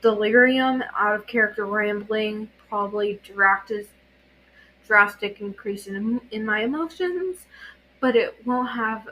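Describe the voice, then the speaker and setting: A woman reads as a stoic, sarcastic teenage boy in an audio story. The delivery is terse and stressed, with a bright edge, on a slightly noisy home recording. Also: medium pitch, a little slow. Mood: strained, wry.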